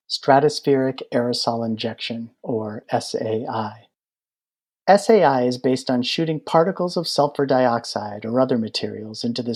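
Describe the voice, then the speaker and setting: A man narrates an audiobook from a prepared text. His voice has a medium pitch, and he explains the material in a measured way. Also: darkish tone.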